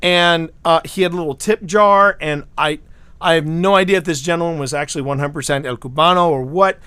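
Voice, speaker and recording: A man's voice: a little high, brisk, sharp tone, clean tone, no room sound. A man from the United States hosting a livestream, rambling through stories and often repeating his words as he chats. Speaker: livestream host. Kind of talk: casual chat.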